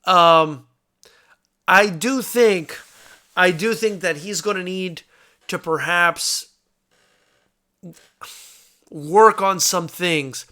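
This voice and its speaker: A man's voice: high-pitched, extremely slow, long pauses, sharp tone. An American man from South Florida hosting a fight talk show, chatting casually with viewers in a conversational, brotherly way. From South Florida, United States. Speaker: combat sports show host and fight promoter. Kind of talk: casual live fight commentary, answering viewer comments.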